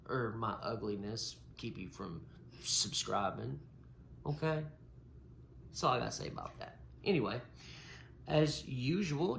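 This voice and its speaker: Man, stutters, gravelly low voice, casual podcast co-host; echoey room, faint hiss. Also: fairly bright tone. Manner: stutters, repeating and breaking off sounds. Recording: roomy echo with faint noise.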